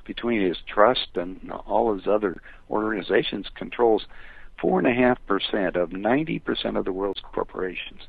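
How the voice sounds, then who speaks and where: Older American male phone guest, low-pitched and husky, rambling but emphatic, conversational, on a thin telephone line. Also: normal speed, no echo. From United States. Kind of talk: conversational opinion talk.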